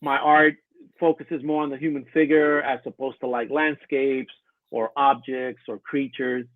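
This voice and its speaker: A man's voice: medium pitch, a little slow, dry recording. A man born in Colombia and raised in the US, a guest illustrator talking casually with many fillers over a remote line. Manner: relaxed, hesitant, many fillers. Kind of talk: casual interview chat. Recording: tinny phone-like remote line, dry.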